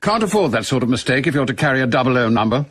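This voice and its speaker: An actor playing a stern middle-aged British spy chief in a radio drama, clipped, formal and authoritative, with a husky voice. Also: male voice, mid-range voice, lively intonation, very fast, a bit bright, no room sound, studio quality. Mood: stern, probing. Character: M, brisk stern older male spy chief.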